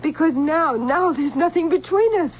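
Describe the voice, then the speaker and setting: A woman's voice: sits high, animated, normal speed. A woman playing a tender, innocent, lovestruck young humanoid with emotional, theatrical radio drama delivery. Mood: joyful wonder. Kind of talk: old-time radio drama acting. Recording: dull, faintly hissy old radio recording.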